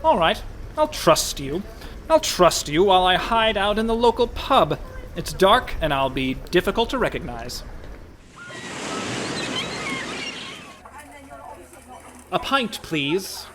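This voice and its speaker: Fretful, high-voiced con man. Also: sing-song, a little slow, noisy background. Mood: glum. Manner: dry, exasperated, worrying. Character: fretful, sardonic con man posing as a pirate merchant. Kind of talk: comic radio play acting.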